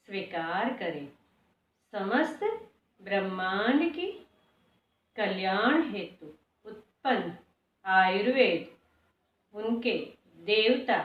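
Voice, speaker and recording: A woman leading a guided meditation, speaking prayers and affirmations slowly and deliberately in short, repeated phrases. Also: medium pitch. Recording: echoey room, distant mic, dull sound.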